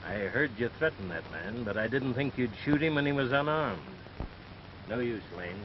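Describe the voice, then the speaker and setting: Folksy, stern American sheriff, a man acting, medium pitch, 1930s western, faint noise on a dull track. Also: expressive, moderate pace, natural voice. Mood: stern, steady. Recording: dull, hissy 1930s film soundtrack.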